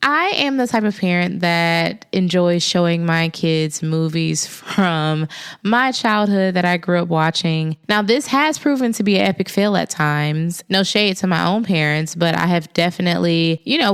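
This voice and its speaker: A young woman hosting a podcast, talking casually and personally with a raspy voice left over from an illness. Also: fairly low pitch, moderate pace. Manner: chatty, personal, self-deprecating. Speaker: podcast host. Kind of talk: casual solo podcast talk.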